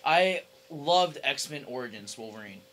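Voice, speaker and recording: A man's voice: medium pitch, animated, unhurried, a crowd in the background. A young man chatting casually with friends about games, rambling emphatically and swearing freely.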